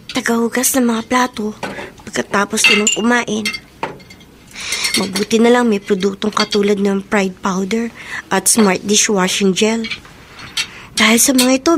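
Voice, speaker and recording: A woman's voice: mid-range voice, noisy background, natural voice. A Filipino woman playing a meek, plaintive, mistreated young stepdaughter in a radio drama. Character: mistreated, obedient young stepdaughter doing household chores.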